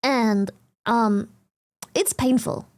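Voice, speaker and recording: A woman's voice: on the high side, drawn-out words, a little mellow, no echo. A woman from Germany with a German accent, chatting casually on a live stream, laughing often and swearing bluntly.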